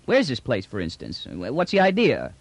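A man's voice: medium pitch, huge pitch swings, moderate pace, no echo. A man voicing a brash, unruffled male space trader with a cheeky, slangy, colloquial manner in an audiobook. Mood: puzzled, blunt. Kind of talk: audiobook character dialogue.

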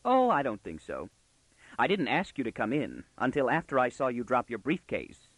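A man's voice: mid-range voice, huge pitch swings, normal speed, no echo, poor audio. A man voicing a precocious, self-assured young girl in a storybook, prim, bossy and easily indignant. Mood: smug, bossy.

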